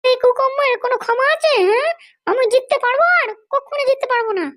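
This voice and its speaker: Woman voicing a bossy cartoon politician wife, super high squeaky voice, talks fast, boasts and harangues. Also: fairly even intonation, a bit bright. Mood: furious scolding. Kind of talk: comedy cartoon dialogue.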